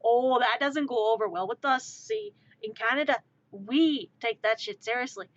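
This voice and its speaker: A woman voicing Canada as an indignant, protective figure who scolds quickly. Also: a little high, natural voice. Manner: scolding, fast. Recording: muffled lo-fi stream audio.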